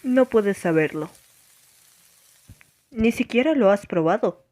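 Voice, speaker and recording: A woman's voice: medium pitch, lively intonation, takes it slowly, darkish tone. A woman playing a strict, pedantic governess in a radio drama, with formal, stern delivery. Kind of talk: scripted radio drama acting.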